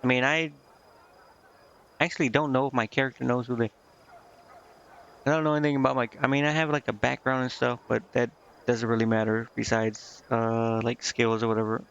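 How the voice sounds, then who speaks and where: A man's voice: mid-range voice, flat delivery, a little fast, long pauses, fairly bright tone. A man giving casual game stream commentary in a hesitant, vague, meandering way. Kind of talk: casual rambling game stream commentary, reading dialogue aloud. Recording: dull lo-fi mic with clear background noise.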